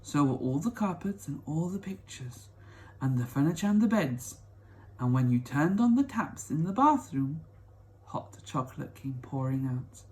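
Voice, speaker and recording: Guy doing an old grandpa in a kids story, super excited and amazed, really leans on every detail. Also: on the high side, moderate pace. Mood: awed. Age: older. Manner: exclamatory, emphatic, savoring each detail. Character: excitable old grandfather, wonder-struck storyteller, full of awe. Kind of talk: children's storybook read-aloud.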